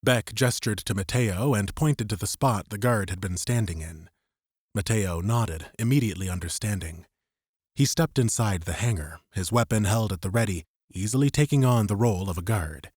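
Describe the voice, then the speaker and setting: Male fiction narrator: deep-leaning, dry close recording, measured suspenseful storytelling for an audiobook. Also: a little mellow, breathy edge, no room sound.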